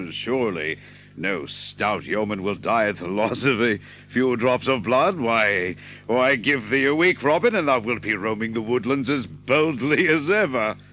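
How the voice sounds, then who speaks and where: An actor playing a burly, fiercely loyal outlaw in an audio drama, with emphatic, blustering, old-fashioned speech. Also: male voice, medium pitch, lively intonation, a little slow, fairly bright tone, slight background noise. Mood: forced cheer. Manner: emphatic, blustering archaic speech with stammered repeats. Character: burly, fiercely loyal male outlaw, rough-hearted, emotional.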